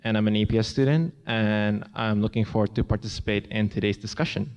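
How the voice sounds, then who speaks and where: A man's voice: lowish voice, a little fast, a little mellow, smooth, long reverb tail, dull audio. A young man from Indonesia, a student on a panel, speaking politely and earnestly. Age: young adult.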